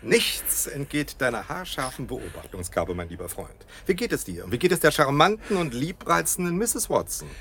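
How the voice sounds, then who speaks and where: An actor playing a brilliant, cheerfully superior gentleman detective in a German radio play, eloquent and suave with a wry condescension. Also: mid-range voice, sing-song, normal speed, hardly any pauses. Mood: wryly amused.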